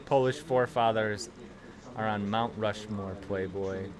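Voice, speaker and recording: A man's voice: on the low side, takes it slowly. Chatty young man with a Chicago accent. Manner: rambling, profane storytelling. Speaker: livestream host. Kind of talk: casual chat with chat readers. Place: small home room.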